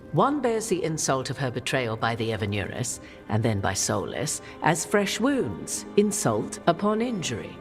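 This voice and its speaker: Regal witch, fantasy game, eloquent archaic speech, very lively intonation, music behind. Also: low voice, heavily acted. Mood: wry, knowing. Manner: archaic, eloquent, measured phrasing. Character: regal, worldly-wise witch, formal and knowing. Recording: music under the voice.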